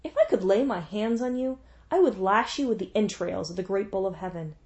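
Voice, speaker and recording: A woman voicing a grieving, raging young warrior king in a storybook reading, forceful and pleading. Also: medium pitch, expressive, a little fast, highs cut off, close to an everyday voice.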